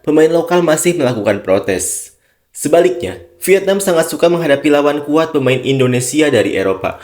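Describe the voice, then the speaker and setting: Man, news narrator, mid-pitched voice, steady reading tone. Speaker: sports channel narrator. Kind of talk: scripted narration reading news and online comments.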